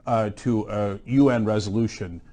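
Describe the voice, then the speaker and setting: A man's voice: fairly low pitch, fairly even intonation, moderate pace, dark tone. A man hosting a political show, arguing in a heated debate with many hesitant fillers.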